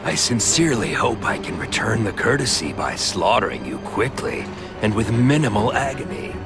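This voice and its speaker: An actor playing a gleeful, mockingly polite psychopathic killer in a video game, drawn-out and theatrical. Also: male voice, lowish voice, sing-song. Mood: gleeful menace. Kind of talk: video game cutscene acting. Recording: loud music behind.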